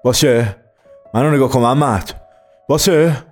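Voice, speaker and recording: Comic story uncle, done by a man: panicky, pleading, insistent, mid-pitched, faint music underneath. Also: lightly acted. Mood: secretive, coaxing.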